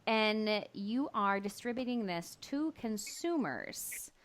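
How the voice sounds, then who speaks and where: A woman's voice: mid-range voice, expressive, slow pace. Enthusiastic woman hosting a podcast. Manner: enthusiastic, affirming interviewer. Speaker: podcast host. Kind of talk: casual interview conversation.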